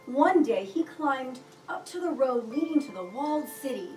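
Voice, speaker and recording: A woman reading a children's picture book aloud with expressive, deliberate storytelling delivery. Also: high-pitched. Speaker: storybook reader. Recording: roomy echo, distant mic, music behind.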